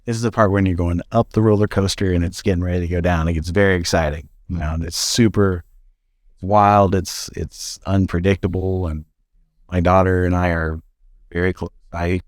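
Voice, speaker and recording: A man's voice: deep voice, fairly even intonation, moderate pace, no echo, clean studio sound. A middle-aged man talking reflectively in a casual podcast conversation, trailing off and restarting his sentences. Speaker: podcast co-host.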